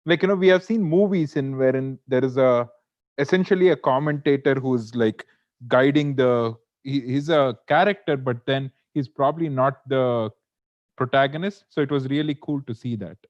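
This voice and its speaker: A man gives casual, conversational feedback in an online meeting. His pitch is average for a man, and the audio is somewhat dull and lo-fi. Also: normal speed, darkish tone. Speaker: workshop participant giving feedback. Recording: dull lo-fi video call audio.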